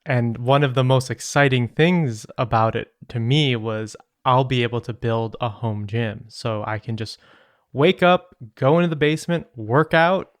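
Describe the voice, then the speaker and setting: A man with a mid-pitched voice chats as a podcast co-host. His delivery is casual and wry, like telling friends a personal story. The recording is close and very dry. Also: a little slow, no echo. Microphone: close podcast microphone.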